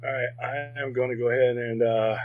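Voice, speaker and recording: Live-stream host, mid-pitched and chatty, rambling delivery. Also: male voice, fairly even intonation, brisk, phone-quality sound, slight background noise. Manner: rambling, filler-heavy, friendly. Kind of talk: casual live-stream chat.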